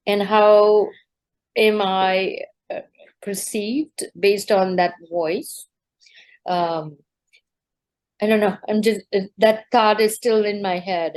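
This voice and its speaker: A woman's voice: mid-range voice, a little mellow. A woman educator thinking aloud in an online group discussion, hesitant and searching for words, with many ums and false starts. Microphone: video call.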